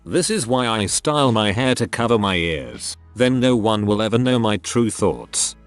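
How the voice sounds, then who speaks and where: Low-voiced male story narrator. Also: sing-song, moderate pace, music in the background. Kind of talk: scripted narration of online stories.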